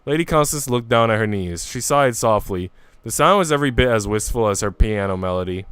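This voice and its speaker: A man streaming a visual novel, reading it aloud as expressive narration and making casual asides. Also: fairly low pitch, brisk, smooth, dry recording. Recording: music playing behind the voice. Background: music.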